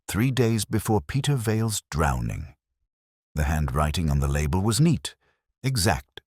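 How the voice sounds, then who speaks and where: Very deep-voiced man narrating a detective story. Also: no echo, clean studio sound. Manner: measured, solemn, literary delivery. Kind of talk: scripted first-person detective narration.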